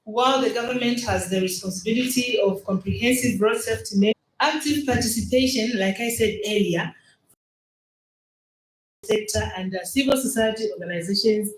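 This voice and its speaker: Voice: mid-range voice, moderate pace, reverberant, poor audio. An organization representative giving a formal speech at a public event, with some restarts. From Zambia.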